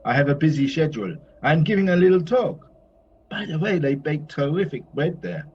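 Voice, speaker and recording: A man's voice: fairly high pitch, moderate pace, slightly rough. A man playing a chatty, self-satisfied old master painter in an audio play, given to grumbling. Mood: genial, self-important. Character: old Flemish master painter, jovial, fussy, easily irritated. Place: recorded at home during lockdown. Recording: muffled, noisy home recording.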